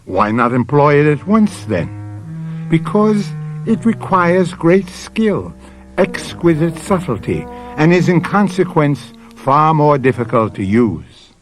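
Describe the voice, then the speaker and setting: A man's voice: a little high, big pitch swings, soft background music. An American man giving theatrical, ominous scripted narration as the host of a vintage radio mystery series. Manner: dramatic, measured, ominous rhetorical phrasing.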